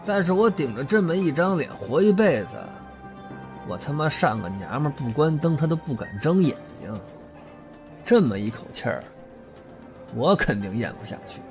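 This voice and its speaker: A menacing, foul-mouthed man with a grudge as a male voice actor plays him in an audio drama. His pitch is fairly high and swings in a lively way as he sneers and taunts, with music underneath. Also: normal speed. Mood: bitter, menacing. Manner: sneering, crude swearing, taunting. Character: menacing, vulgar, disfigured older brother with a grudge. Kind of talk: Chinese audio drama acting. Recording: music behind the voice.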